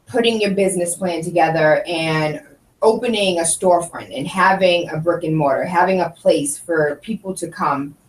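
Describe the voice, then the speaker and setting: A woman's voice: lowish voice, normal speed. A woman hosting a show, asking a guest questions in an affirming, encouraging way. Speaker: podcast host. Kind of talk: interviewing a guest. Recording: roomy, distant mic, faint noise.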